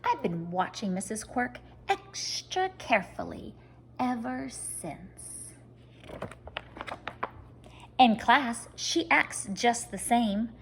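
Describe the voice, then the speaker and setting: A woman reading a children's story aloud like a teacher, expressive and speaking straight to young listeners. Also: fairly high pitch, takes it slowly, long pauses, a little hiss or hum. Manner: expressive, addressing children directly.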